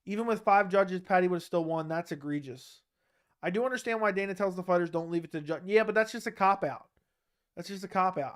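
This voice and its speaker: High-voiced man with a sore throat. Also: smooth. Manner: blunt, reads chat questions aloud and answers them flatly. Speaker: livestream host answering chat. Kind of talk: casual livestream chat.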